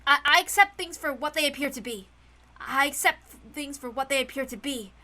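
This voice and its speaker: An earnest, determined young man acted out by a woman in a fantasy story reading. The voice stays high and bright. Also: a little fast. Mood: earnest resolve.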